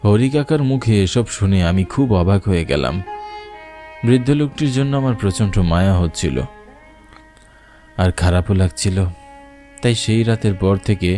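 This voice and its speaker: A man's voice: on the low side, expressive, dark tone, close to an everyday voice. A man playing a frightened villager who narrates his own ghost encounter in a dramatic, suspenseful horror-cartoon style. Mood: subdued. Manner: suspenseful, deliberate. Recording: prominent music behind narration.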